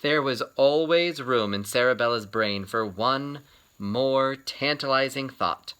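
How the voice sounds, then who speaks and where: A man reading a children's book aloud in an expressive storytelling style, with theatrical, deliberate phrasing and dramatic pauses. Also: mid-range voice, clear, resonant tone.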